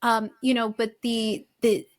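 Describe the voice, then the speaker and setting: A woman's voice: on the high side, level tone, measured pace. A woman in her forties from the United States, a guest on an interview podcast, talking reflectively and animatedly with many fillers and restarts.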